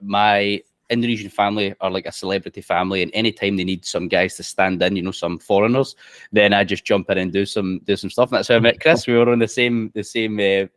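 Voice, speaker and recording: A man's voice: fairly low pitch, steady tone, quick pace, no echo. A man hosting a casual interview, friendly and chatty, often calling his guest "mate". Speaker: host.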